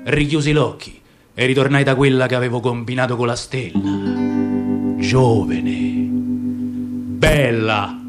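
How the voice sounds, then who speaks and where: Man acting nostalgic Roman storyteller, middle-aged, theatrical verse recitation, lively intonation, acoustic guitar accompaniment. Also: mid-range voice. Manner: expressive, storytelling. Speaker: actor reciting dialect verse. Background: acoustic guitar music.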